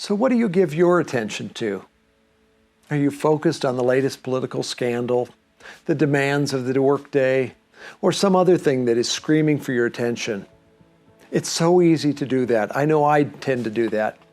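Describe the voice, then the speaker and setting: Creaky-voiced man in reflective host mode. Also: medium pitch, animated, moderate pace, soft background music. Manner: earnest, gently instructive. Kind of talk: reflective guidance to listeners.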